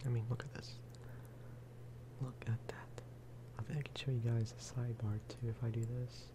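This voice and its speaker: A man giving casual, rambling ASMR game commentary, speaking softly and often slipping into whispers. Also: medium pitch, flat delivery, normal speed, background noise. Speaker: gaming video host.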